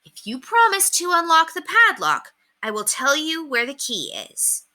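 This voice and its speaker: A woman's voice: high-pitched, very animated, measured pace, sharp tone, voice pushed up, heavily acted. A woman voicing a desperate, scheming school-age boy in a storybook, urgent and coaxing.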